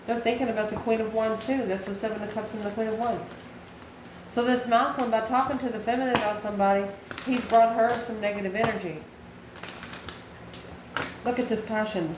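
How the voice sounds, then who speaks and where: A woman's voice: on the high side, normal speed. Lady doing a casual tarot reading, asks herself stuff then answers, roomy echo and noisy background. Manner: musing, asks and answers own questions. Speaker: tarot reader and channel host. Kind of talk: informal tarot card reading, talking to the viewer. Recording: echoey room with steady background noise.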